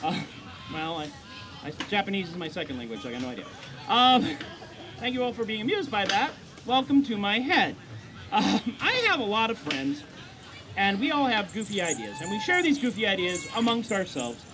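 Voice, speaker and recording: A man's voice: sits high, sing-song, moderate pace, background noise. A man, a comedy songwriter, doing rambling, self-deprecating banter between songs at a live show. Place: live stage show before an audience. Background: guitar playing.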